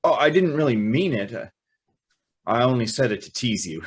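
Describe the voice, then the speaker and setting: A man's voice: medium pitch, animated, moderate pace, husky. An actor playing an earnest, awestruck young man who also narrates an old-time radio drama. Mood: shy, smitten. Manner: hesitant, stammering in dialogue.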